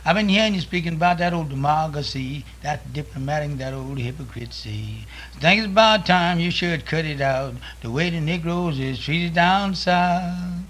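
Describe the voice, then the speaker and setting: A man's voice: on the high side, normal speed, few pauses, fairly bright tone, a little hiss or hum, low-quality recording. A man performing emphatic verse with a repeated refrain.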